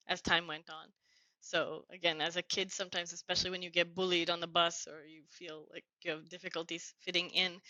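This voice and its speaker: Middle-aged woman, earnest guest storyteller, crisp low voice, muffled dry call audio. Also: no room sound. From United States (New Jersey). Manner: warm, earnest, grateful tone. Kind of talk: reflective conversational storytelling.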